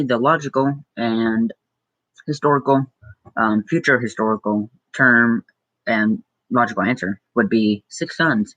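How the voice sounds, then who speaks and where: A man's voice: medium pitch, fairly bright tone, husky, no echo, poor audio. A teenage boy with an Arizona accent, hosting a casual live-stream Q&A from his room, reading out viewer questions and answering with many ums and likes. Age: teens. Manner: hesitant, lots of ums and likes, reads questions aloud then answers offhand. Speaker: small YouTuber hosting a live Q&A. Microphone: computer mic.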